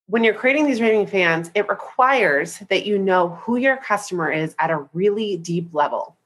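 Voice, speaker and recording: A woman's voice: medium pitch, lively intonation, normal speed, a bit bright. Upbeat congested woman, business coach teaching a group call; chatty, keeps checking in. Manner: upbeat, chatty, says "right?" often. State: congested from a head cold.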